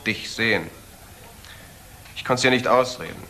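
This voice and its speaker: An actor playing an excitable young man, a troubled gambler's son, emotional and insistent in a crime radio play. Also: mid-range voice, unhurried. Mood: uneasy. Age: young adult. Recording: noticeable background noise, old broadcast audio.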